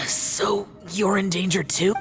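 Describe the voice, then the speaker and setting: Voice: medium pitch, steady tone, takes it slowly, gravelly, music in the background. An actor playing a stoic, brooding male immortal warrior in an anime-style video game, terse, grave and formal. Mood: guarded, grave.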